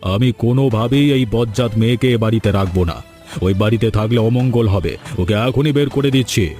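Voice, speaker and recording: Man playing old cartoon father, dark low-lit tone, stern, commanding, quarrelsome, music underneath. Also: a little fast, close to an everyday voice. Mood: angry, scolding. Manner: scolding, accusatory, commanding. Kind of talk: Bengali fairy-tale cartoon dubbing.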